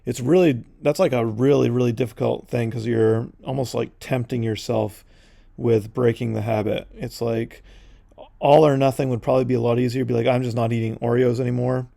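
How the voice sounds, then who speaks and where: A man's voice: medium pitch, steady tone, a little fast, gravelly, warm tone, no echo, clean studio sound. A man in his forties casually rambling as a podcast host while he paints, drifting into side notes with lots of fillers. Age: 40s.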